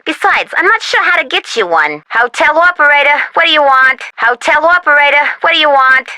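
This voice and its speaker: A woman's voice: high-pitched, lively intonation, fairly quick, sharp tone, no echo, full character voice. An actress playing a bored, brusque young woman taking orders and calls in a video game, curt and flippant. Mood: brusque, offhand. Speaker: room service and hotel operator character.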